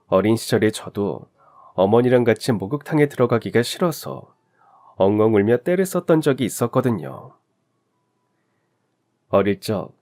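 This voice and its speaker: A man narrating a heartfelt life story in a scripted, expressive reading. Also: mid-range voice.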